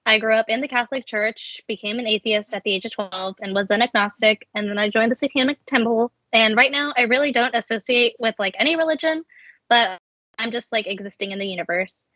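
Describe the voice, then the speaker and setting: Young woman, student podcast host, bright voice, casual introduction, muffled lo-fi audio. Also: on the high side, fairly quick, dry recording. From Texas, United States. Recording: muffled lo-fi close dry audio.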